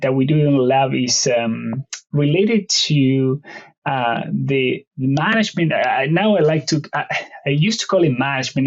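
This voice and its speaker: A man in his late thirties with an Argentine Spanish accent, talking through his answers in a loose, conversational way. He stops often with "uh" and restarts his sentences. His voice sits at a medium pitch, and the audio is slightly dull and lo-fi. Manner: hesitant, many "uh" fillers and restarts. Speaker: veterinary research professor, podcast guest.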